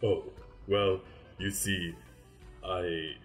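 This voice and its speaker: A calm male grim reaper played by a man who talks in a very deep voice at an unhurried pace. He is formal and a little fussy, and music plays under him. He sounds like a radio-drama reaper. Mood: explaining. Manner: slow, formal, explanatory.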